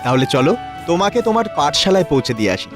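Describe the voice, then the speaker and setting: Male actor as a divine big brother, calm, kindly, reassuring, children's cartoon, clear background music. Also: fairly high pitch, fast. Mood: kindly, instructive. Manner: reassuring, gently instructive.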